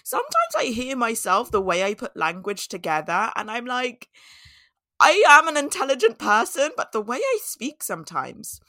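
A woman hosts her podcast in a chatty, self-mocking way, her voice hoarse and scratchy from a cold. Her tone is bright and forward. The sound is dry and close, with no room around it. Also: on the high side, huge pitch swings, moderate pace, no echo. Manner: chatty, laughs at herself, repeats key points. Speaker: podcast host. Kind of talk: casual solo podcast talk.

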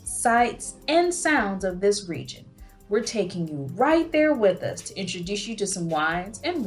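A woman hosting a podcast, reading scripted narration with an upbeat delivery. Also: mid-range voice. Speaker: podcast host.

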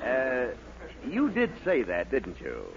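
An actor playing a dry, sarcastic, bemused newspaper editor with drawn-out hesitations in a 1940s radio comedy. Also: male voice, medium pitch, huge pitch swings, a loud crowd, heavily acted. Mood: skeptical, baffled. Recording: very noisy muffled radio recording, studio audience.

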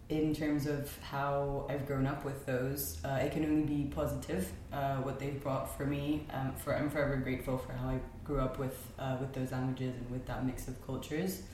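A woman's voice: extremely low pitch, flat delivery, moderate pace, few pauses, darkish tone. A young woman from Spain, a podcast guest chatting casually, with frequent ums and light laughter. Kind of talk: casual conversational chat. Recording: very echoey room with faint noise.

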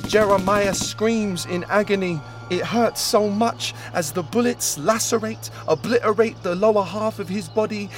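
A man reading a dark horror story aloud, intense, swinging between urgent peaks and low hushed passages. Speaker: audiobook reader. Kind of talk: scripted dramatic horror narration.

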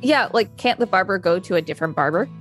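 A woman's voice: on the low side, animated, quick pace, a bit bright, soft background music. A woman co-hosting a podcast, reading an encyclopedia article aloud with casual commentary. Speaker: podcast co-host.

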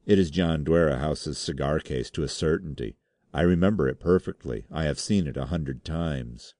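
A male narrator plays a sober gentleman of the board in a classic tale. The voice is very low and mellow, firm and formal, sure of itself. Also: normal speed, dry recording, studio quality. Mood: grave certainty.

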